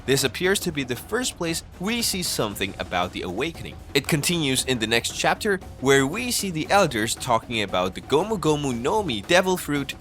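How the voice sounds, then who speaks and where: A man's voice: medium pitch, a bit bright. A man reading a scripted explainer narration as the narrator of an anime video.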